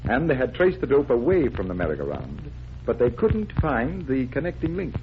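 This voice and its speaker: Smooth polite detective in old radio show, lively intonation, muffled. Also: male voice, mid-range voice, moderate pace. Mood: genial. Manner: polite, genial, unflustered. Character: suave, composed adult male magician detective. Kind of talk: 1940s radio acting. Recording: dull vintage radio recording, music behind.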